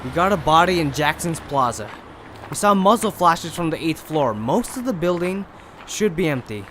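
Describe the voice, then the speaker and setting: A man's voice: on the high side, animated, normal speed, fairly bright tone. A man playing an anxious, dutiful police officer in an audio crime drama, talking urgently. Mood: urgent, businesslike. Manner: urgent, alarmed. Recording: faint outdoor noise with sirens.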